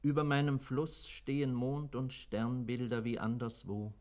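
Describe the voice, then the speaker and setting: A man reciting verse for a radio play in a solemn, measured manner. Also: medium pitch, level tone, darkish tone.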